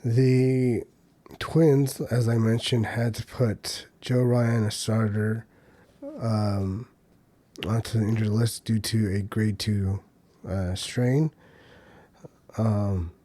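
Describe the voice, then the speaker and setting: Mellow-voiced man hosting a podcast. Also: fairly low pitch, fairly even intonation, slow, long pauses. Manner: loose and conversational, many ums and uhs, hedging asides. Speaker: podcast host. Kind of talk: solo casual commentary.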